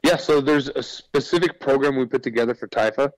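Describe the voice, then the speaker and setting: A man's voice: mid-range voice, fairly quick, dry recording, rough recording. A man speaking as a guest in a radio interview, explaining his business in an earnest, informal way. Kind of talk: conversational radio interview pitch.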